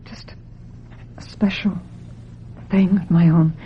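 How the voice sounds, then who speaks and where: An actress playing a vulnerable young film actress in a radio drama, breathy, hesitant and plaintive as she resists pressure. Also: lowish voice, fairly even intonation, slow, exaggerated voice. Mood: wistful, vulnerable. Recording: dull, muffled old radio drama recording.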